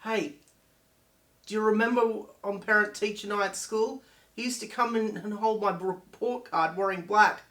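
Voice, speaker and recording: A man playing an anxious young person in a dramatic monologue, pleading quietly to prove himself. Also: high-pitched, steady tone, moderate pace. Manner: pleading, subdued. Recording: echoey room.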